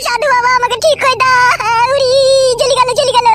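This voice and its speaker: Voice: very high-pitched, steady tone, a little fast, over music. A performer voicing a cheeky, whiny young male troublemaker in a comic cartoon, with melodramatic and crude slangy delivery. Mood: panicked pleading.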